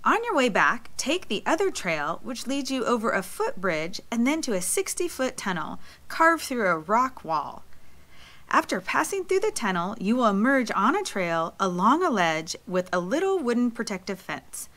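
A middle-aged woman reading scripted travel narration in a lively, inviting guide manner, as a voice-over. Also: fairly high pitch, normal speed. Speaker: travel vlog co-host. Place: voice-over, not recorded on location.

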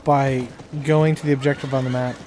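A man's voice: medium pitch, level tone, a little fast, darkish tone. A man chatting casually while playing a co-op video game with friends. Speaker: co-op gaming partner. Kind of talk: casual co-op gaming chat. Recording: faint game ambience noise. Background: game ambience, horse sounds.

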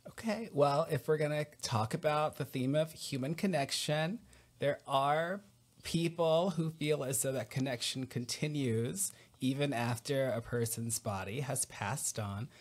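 A man in his thirties hosting a podcast interview over a video call. He speaks warmly and encouragingly, and he asks long, open questions. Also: medium pitch, measured pace. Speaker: podcast host. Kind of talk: conversational interview. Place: home room, on a video call. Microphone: over-ear headset.

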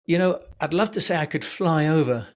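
A man's voice: on the high side, a little fast. A man in his sixties hosting an interview over a video call from his home office, with a friendly manner that stretches key words for emphasis. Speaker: podcast host. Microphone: headset and boom mic.

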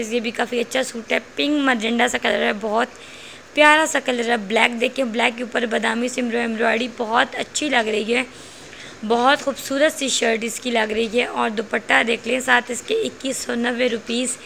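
A woman's voice: fairly high pitch, fairly even intonation, moderate pace, vocal fry, fairly bright tone, slight background noise. A woman, chatting through a shopping vlog in a clothing shop and listing prices. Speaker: vlogger showing clothes at a shop. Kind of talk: casual shopping vlog narration.

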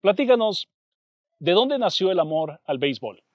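A man's voice: high voice, big pitch swings, moderate pace, no echo, muffled recording. Conversational male baseball broadcaster. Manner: conversational, asks prompting follow-up questions. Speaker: Spanish-language baseball broadcaster, interviewer. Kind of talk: interview questions.

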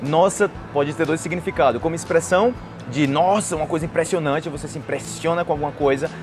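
Fast-talking young Brazilian man, higher-pitched voice. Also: fairly even intonation, over music. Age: young adult. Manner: explanatory, illustrates with acted example phrases. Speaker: language teacher and host. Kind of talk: conversational language lesson to camera. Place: outdoors on a patio by trees and a street. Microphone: lapel mic.

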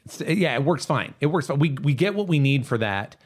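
A man's voice: on the high side, animated, brisk, husky. A man hosting a podcast, talking casually about pop culture in an analytical, emphatic way. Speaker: podcast host.

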